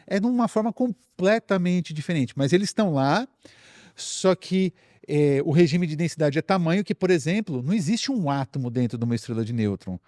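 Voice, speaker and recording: A man's voice: a little high, animated, fairly quick, darkish tone, no echo, studio quality. A man with a Brazilian accent casually explaining science as a guest expert, step by step, repeating phrases for emphasis.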